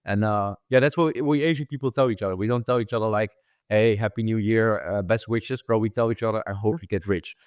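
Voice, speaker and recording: A man's voice: fairly low pitch, fairly even intonation, fairly quick, darkish tone, clean tone, no room sound, clean studio sound. A young man who grew up in the Netherlands, hosting a casual interview podcast, with loose talk full of repeated words and "bro". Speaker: podcast host.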